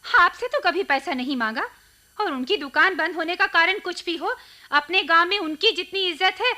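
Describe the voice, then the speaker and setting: An actress playing a defiant, sarcastic young educated wife who argues back in a radio drama. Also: female voice, very high voice, moderate pace, pushed and bright, exaggerated voice. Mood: indignant. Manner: sarcastic, assertive retorts. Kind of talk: Hindi radio drama acting.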